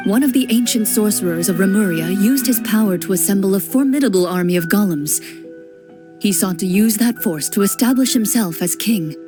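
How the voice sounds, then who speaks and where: A woman playing a calm, reserved swordswoman. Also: mid-range voice, lightly acted. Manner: calm, measured, explanatory. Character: composed young female duelist, serious and reserved. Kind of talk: game cutscene dialogue. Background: game music.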